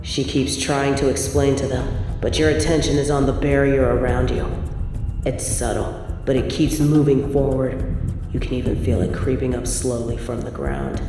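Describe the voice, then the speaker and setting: A woman's voice: extremely low pitch, normal speed, heavy reverb, big-room sound, music in the background. A woman narrating scripted dramatic fiction in the second person.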